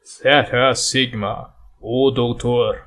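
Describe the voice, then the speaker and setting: A man voicing a solemn palace guard who formally proclaims honoured guests in a measured audio drama style. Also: medium pitch, fairly even intonation, fairly bright tone, pitched below the performer's own voice. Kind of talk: audio drama herald announcement.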